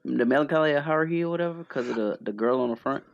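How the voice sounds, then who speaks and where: A man's voice: fairly high pitch, rapid-fire, vocal fry, dry recording, lo-fi sound. A man co-hosting a podcast, chatting casually with friends. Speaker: podcast co-host.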